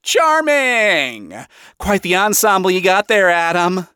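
A man's voice: high voice, sing-song, takes it slowly, pushed and bright, clean tone, no room sound, clean studio sound, voice pushed up, exaggerated voice. A man voicing a smug, theatrical devil king of Hell who sneers and mocks in an audio story.

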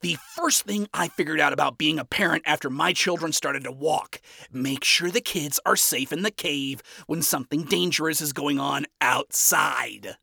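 Man voicing a brash, scornful man, raised pitch. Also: animated, measured pace, vocal fry, sharp tone, breathy edge, dry recording, clean studio sound, heavily acted. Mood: scornful, exasperated. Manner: blunt, biting, sarcastic. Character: brash, scornful, foul-mouthed man ranting at his enemy.

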